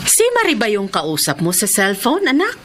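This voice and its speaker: A woman playing a caring, pious, older Filipino mother who gently teases, in a radio drama. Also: medium pitch, wildly swooping pitch, moderate pace, over loud music. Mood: warm, teasing.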